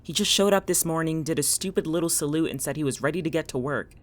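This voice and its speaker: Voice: mid-range voice, fast talker, close to an everyday voice. An actor playing a stressed, guarded young woman in an audio drama, snappy and sarcastic. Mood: anxious, hushed. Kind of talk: naturalistic audio drama dialogue.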